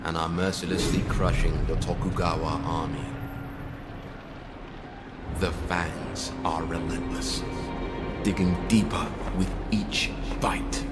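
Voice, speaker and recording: An actor playing a stern, loyal samurai retainer in a video game, speaking in a formal, measured, old-fashioned way. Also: male voice, sits low. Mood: grave. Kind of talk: video game cutscene dialogue. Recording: game audio under loud music.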